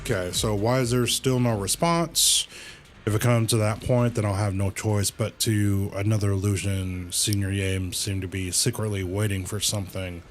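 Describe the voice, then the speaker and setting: A man livestreaming a game with casual commentary, thinking aloud in a halting way and repeating phrases. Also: on the low side, fairly even intonation, a little hiss or hum.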